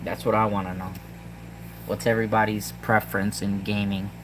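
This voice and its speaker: A man with a Puerto Rican accent chatting casually to his viewers about a handheld gaming device, halting with lots of ums and restarts. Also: lowish voice, flat delivery, background noise. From Puerto Rico. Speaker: tech vlogger. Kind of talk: casual rambling tech talk to viewers.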